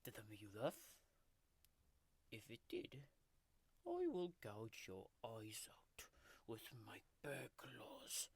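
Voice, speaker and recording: A woman's voice: rumbling low, unhurried, pauses often, darkish tone, slightly rough, no echo, full character voice. A woman voicing a grumpy, foul-mouthed male tiger-like creature who whispers and mocks while reading a bedtime story as comedic ASMR. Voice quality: whispery, crude and mocking.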